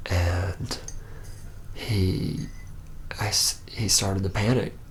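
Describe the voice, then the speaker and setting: A young man playing a reluctant, awkward young vampire in an ASMR roleplay, murmuring haltingly and often close to a whisper. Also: sits low, steady tone, slight background noise. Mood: hesitant, uneasy recollection. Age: young adult.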